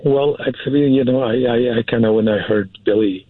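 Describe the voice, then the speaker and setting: Male guest, husky voice, dry phone-like narrow sound. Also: medium pitch, brisk, no echo. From Syria. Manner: hesitant, repeats words for emphasis. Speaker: interview guest, film producer. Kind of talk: casual interview talk.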